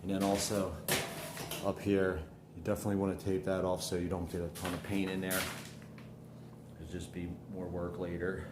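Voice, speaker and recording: A man with a slight sniffle from a cold, talking in a soft-spoken, rambling, self-correcting way as he explains a paint job step by step in a house. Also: low-pitched, completely flat delivery, moderate pace. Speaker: DIY restoration vlogger. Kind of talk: casual how-to narration while working. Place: living room of a house, working on a frame. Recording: echoey room, far from mic, noisy.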